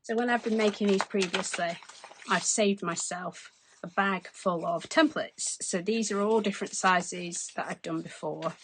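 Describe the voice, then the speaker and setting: A woman in her forties, hoarse from losing her voice, chatting through a craft demonstration at her desk. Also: mid-range voice, measured pace, fairly bright tone, slight background noise, rough recording. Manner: chatty, explains as she works. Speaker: craft tutorial host. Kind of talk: casual step-by-step crafting demonstration. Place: craft desk indoors, overhead camera.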